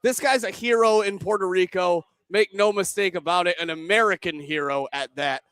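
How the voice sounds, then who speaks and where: High-voiced young man rambling. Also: moderate pace, a bit bright, clear, resonant tone, no room sound. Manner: rambling, self-deprecating banter. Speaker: co-host of a sports show. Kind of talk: casual sports talk. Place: sports pizza pub.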